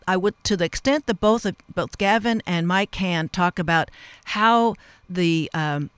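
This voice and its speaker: Male interviewer, high clear voice, hesitant and meandering, very dry studio sound. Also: fairly bright tone, dry recording. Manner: halting, rambling, circles back mid-sentence. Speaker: radio host. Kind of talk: radio interview, long unscripted questions.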